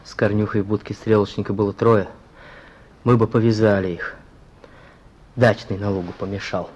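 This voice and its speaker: Husky, grim policeman. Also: on the low side, little pitch movement, normal speed, a little mellow, highs cut off. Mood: grim, bitter. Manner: colloquial, matter-of-fact, grim. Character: plain-spoken policeman, bitterly reporting to his superior. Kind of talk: Russian radio play acting.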